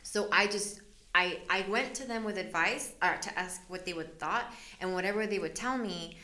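A woman's voice: medium pitch, normal speed, bright, forceful tone. A woman from El Salvador, a business owner, talking candidly in an interview. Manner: candid, chatty, laughs often. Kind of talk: casual interview.

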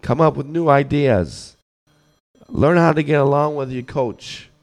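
Slow, hoarse man ranting about sports. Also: fairly high pitch. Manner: blunt, scolding, repeats words while thinking. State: hoarse, getting over the flu. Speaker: podcast host. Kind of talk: opinionated sports rant.

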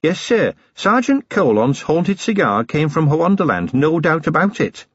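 A man's voice: on the high side, very animated, moderate pace, breathy edge, no room sound, lo-fi sound, pitched above the performer's own voice. A man voicing a shrewd female dwarf police officer in a fantasy audiobook, courteous, formal and cheerily firm. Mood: polite, businesslike. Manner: formal, courteous, quietly pressing.

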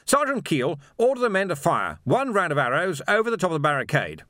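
A man's voice: on the high side, very animated, a little fast, a bit bright, no room sound, clean studio sound, voice pushed up. A man reading an audiobook voices an arrogant, stiff-necked army captain who talks in clipped, imperious orders. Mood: commanding.

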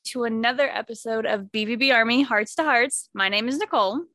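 A woman's voice: fairly high pitch, fairly quick, sharp tone, no echo. Upbeat woman hosting a podcast. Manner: upbeat, encouraging, laughs easily. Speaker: podcast host. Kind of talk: casual chat.

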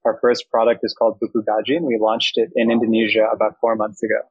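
A man's voice: fairly low pitch, monotone, fast talker, slightly rough, no echo, muffled recording. A young man from Thailand, a startup founder, giving fluent, reflective interview answers full of business terms.

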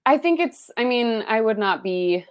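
A woman's voice: a little high, moderate pace, smooth. Chatty young woman, Spanish her first language. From San Francisco Bay Area, US. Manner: chatty, full of "like" and "um", animated when recalling. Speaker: interview guest, writer. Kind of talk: casual conversational interview.

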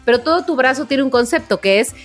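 A woman with a Mexican Spanish accent co-hosting a casual podcast chat, telling stories in an animated way and acting out quotes. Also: high-pitched, fast talker, faint music behind. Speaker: podcast co-host. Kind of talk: casual chat among friends.

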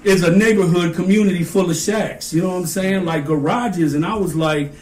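A man's voice: high voice, a little fast, reverberant, distant mic. A man with an American accent hosting a casual interview, talking in an earnest, colloquial, streetwise way. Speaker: podcast host.

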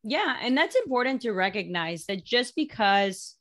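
A woman's voice: a little high, sing-song, normal speed, fairly bright tone. Woman in her thirties, upbeat host leading a casual group chat. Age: 30s. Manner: upbeat, cheers guests on. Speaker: podcast host.

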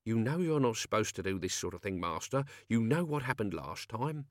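A man's voice: on the low side, very animated, fairly quick, dry recording, clean studio sound. A man voicing an anxious, grumbling manservant who pleads deferentially and with exasperation in a storybook reading. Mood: reproachful. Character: Albert, anxious, grumbling male servant of Death.

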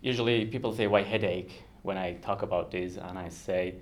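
A man in his thirties from Iran with an Iranian accent, a native Kurdish speaker, talking earnestly and haltingly as a podcast guest who laughs often. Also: low voice, steady tone. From Iran, Kurdistan region. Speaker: guest researcher. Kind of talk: podcast interview.

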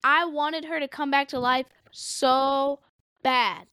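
Higher-voiced woman, blunt and slangy. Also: slow, no echo. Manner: expressive, blunt, heavy swearing, slangy. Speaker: podcast co-host recapping an anime. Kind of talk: casual chat.

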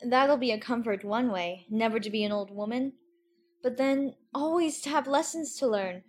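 A curious, chatty little girl, acted by a woman, with a high voice. She is polite and a little prim, thinking aloud to herself in a storybook manner. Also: moderate pace, lightly acted. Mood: wistful, musing. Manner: musing, prim, talking to herself. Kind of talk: audiobook character acting.

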